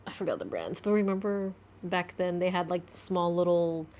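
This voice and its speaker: A woman's voice: fairly low pitch, fairly even intonation, normal speed, a little mellow. A woman chatting casually and spontaneously as she tries out a product. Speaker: host's wife, guest trying the product. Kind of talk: casual chat, spontaneous reactions.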